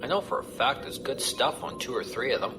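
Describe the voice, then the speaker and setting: A man's voice: mid-range voice, animated, brisk, music in the background, lightly acted. An actor playing a chummy, teasing pirate crewman in an audio drama.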